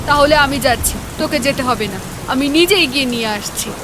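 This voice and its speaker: Woman playing a very high-pitched fearful village mother. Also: fairly quick, noisy background, natural voice. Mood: worried, firm. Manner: worried, forbidding, dramatic. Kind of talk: animated horror story dialogue.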